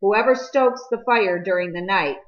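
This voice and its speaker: A woman's voice: mid-range voice, normal speed, lo-fi sound, voice pushed up, lightly acted. A woman voicing an easygoing, practical man in a novel, giving matter-of-fact instructions. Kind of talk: audiobook character dialogue.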